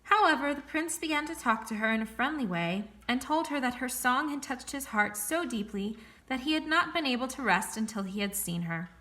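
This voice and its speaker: A woman reading a fairy tale aloud in a clear, expressive, measured way. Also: a little high. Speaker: storyteller.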